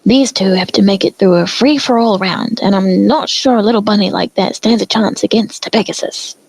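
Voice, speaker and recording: A woman voicing an excitable cartoon pony sports announcer, delivering play-by-play style commentary. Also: mid-range voice, a little fast, vocal fry, no echo, rough recording. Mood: chatty commentary.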